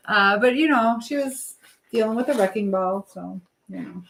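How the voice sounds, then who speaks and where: A woman's voice: on the high side, expressive, takes it slowly, poor audio. A woman hosting a casual live stream, talking in a chatty, affectionate way with viewers and reading their comments aloud. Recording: clear background noise with clicking sounds.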